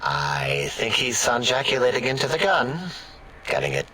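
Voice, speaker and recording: An actor playing a touchy, fussy, whining robotic brain scientist in a sci-fi comedy game. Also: male voice, mid-range voice, sing-song, moderate pace, sharp tone, a little hiss or hum. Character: whiny, touchy, nerdy robotic brain scientist, easily wounded.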